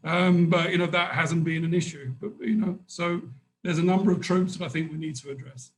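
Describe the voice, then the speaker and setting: Man with a higher-pitched voice, thinking aloud. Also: steady tone, poor audio. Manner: halting, repeats words as he thinks. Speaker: conference panelist. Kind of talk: off-the-cuff panel discussion.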